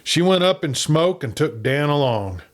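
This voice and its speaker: A man's voice: on the high side, very animated, normal speed, voice pushed up. A man voicing a laconic, secretive cowboy outlaw, telling things in an understated way. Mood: guarded. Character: laconic, regretful outlaw bringing news.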